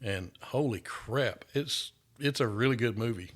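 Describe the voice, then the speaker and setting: A man's voice: mid-range voice, measured pace, fairly bright tone. A man from the United States hosting a casual chat show, easygoing and rambling, saying "y'all". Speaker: host.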